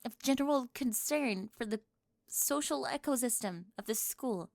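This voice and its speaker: A woman acts out a teenage cheer captain with a high voice in an ASMR drama. She is bossy and indignant, interrogating in pompous formal terms while getting flustered and secretly jealous. The sound is dry and close. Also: lively intonation, moderate pace, dry recording. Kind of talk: scripted ASMR roleplay acting.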